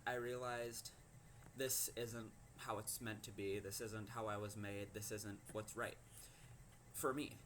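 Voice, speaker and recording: Teen boy, fifteen, low voice, halting personal story over faint hiss. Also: fairly bright tone. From Minnesota. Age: teens. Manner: hesitant, many ums and likes. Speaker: teen vlogger.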